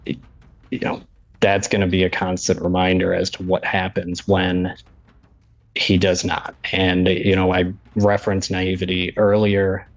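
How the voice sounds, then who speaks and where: A man pleading in court as a defense attorney, halting and deferential. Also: low-pitched, monotone, no room sound. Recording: dull, lo-fi courtroom audio.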